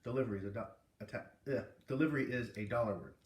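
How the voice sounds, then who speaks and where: A man reading a children's novel aloud in an expressive, animated way, with casual asides. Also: mid-range voice, very fast. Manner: animated, puts on character voices. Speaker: read-aloud host. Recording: roomy, distant microphone.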